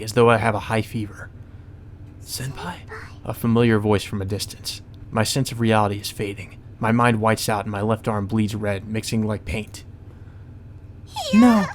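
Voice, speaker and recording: A man's voice: lowish voice, a little hiss or hum. A man reading visual novel narration aloud as a let's play, mixed with casual, sweary commentary.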